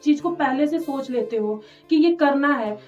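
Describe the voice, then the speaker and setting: Casting: woman, high-pitched, chatty interviewee, slightly off-mic and muffled. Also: a little slow, darkish tone. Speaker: interview guest, social worker. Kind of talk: conversational interview answers. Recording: dull, distant mic with background music.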